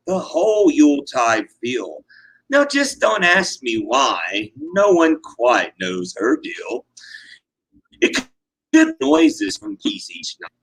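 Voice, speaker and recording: A man's voice: mid-range voice, creaky, fairly bright tone, husky, poor audio. A man narrating rhyming comic verse live, in a theatrical, emphatic, sing-song manner. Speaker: performer, narrator.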